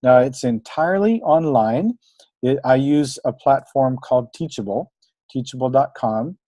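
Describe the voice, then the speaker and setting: A man's voice: mid-range voice, lively intonation, measured pace, dark tone, no room sound. Encouraging man teaching a webinar. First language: English. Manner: encouraging, conversational with fillers. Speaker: language teacher. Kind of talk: webinar presentation.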